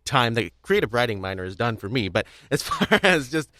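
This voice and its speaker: A man's voice: medium pitch, big pitch swings, brisk, sharp tone, no room sound. A young man hosting a casual podcast chat, talking in a wordy, rambling, hedging way. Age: young adult. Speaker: podcast host. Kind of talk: casual chat about audio drama craft.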